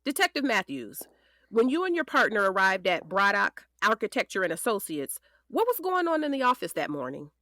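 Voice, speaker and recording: An actress playing a determined defense attorney, questioning in a deliberate, emphatic courtroom manner for an audio drama. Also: female voice, medium pitch, very animated, pushed and bright, dry recording, clean studio sound. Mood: pointed, insistent. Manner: deliberate, emphatic cross-examining. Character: determined female defense attorney, pointed and composed.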